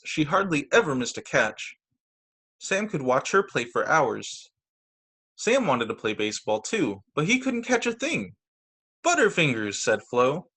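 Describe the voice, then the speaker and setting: Guy teacher doing kids story time, super animated up and down voice, reads aloud n adds little comments. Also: on the high side. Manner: expressive, acts out quoted lines, comments on the pictures. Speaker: teacher reading stories to children. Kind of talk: read-aloud picture book storytelling with casual asides. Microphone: video call.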